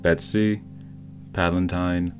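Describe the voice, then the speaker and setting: A man's voice: low-pitched, flat delivery, slow pace. A man narrating a scripted film analysis as a video essay voice-over. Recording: voice-over with background music. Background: instrumental music with plucked guitar.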